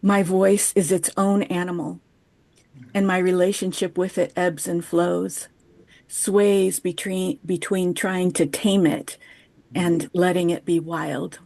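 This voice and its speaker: A woman in her fifties giving a measured, poetic chapel reflection over a video call. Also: lowish voice, little pitch movement, creaky, warm tone, no echo. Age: 50s. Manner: measured, poetic, unhurried delivery. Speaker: chapel speaker. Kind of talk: reflective personal chapel talk, read aloud. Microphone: video call microphone.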